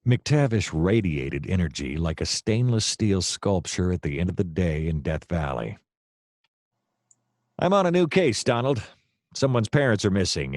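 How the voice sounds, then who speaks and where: Man playing a deep-voiced, deadpan noir private eye. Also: no echo, studio quality. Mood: eager, pushy. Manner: deadpan, wry storytelling. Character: hard-boiled, hapless male private detective narrating his case. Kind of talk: noir radio-play narration and dialogue.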